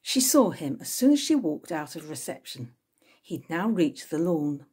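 Female narrator, 60s, low-pitched, slightly husky, expressive storybook reading. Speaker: author reading from own novel. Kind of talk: scripted narration, audiobook-style reading. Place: small room at home with bookshelves, indoors.

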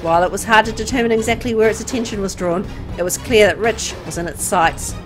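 A woman reading a horror visual novel aloud in an expressive, dramatic storytelling way. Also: mid-range voice, fast. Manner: expressive, acting out dialogue. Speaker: gameplay video narrator. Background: music.

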